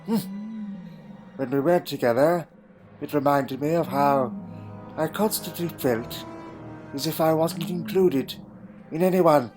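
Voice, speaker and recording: Male actor as a troubled, proud Time Lord for audio drama; slightly high voice, old-fashioned wording, melancholy and guarded, over faint music. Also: measured pace, pauses often, a little mellow, natural voice. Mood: brooding, melancholy.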